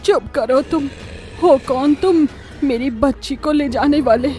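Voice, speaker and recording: A woman's voice: high-pitched, unhurried, dark tone. A woman voicing an indignant, protective mother in a cartoon horror story. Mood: angry, protective. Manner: confrontational, protective. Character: indignant mother defending her daughter. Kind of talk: Hindi animated horror story dialogue. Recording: music bed under the voice.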